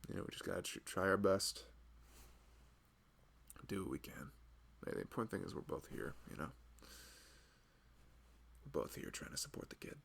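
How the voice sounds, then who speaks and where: A man playing a loving, nervous young husband and father-to-be in an intimate, rambling, soft-spoken ASMR roleplay. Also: sing-song, normal speed, pauses often, slight background noise, full character voice. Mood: worried, tender. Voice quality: low, gravelly voice. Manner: rambling, soft-spoken, chuckling, often drifting toward a murmur.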